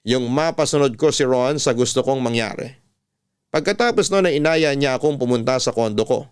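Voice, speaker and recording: A man's voice: medium pitch, moderate pace, smooth. A man with a Filipino accent narrating a first-person story as a radio host, in a dramatic storytelling style. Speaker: radio host reading a listener's letter. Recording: music bed under the voice.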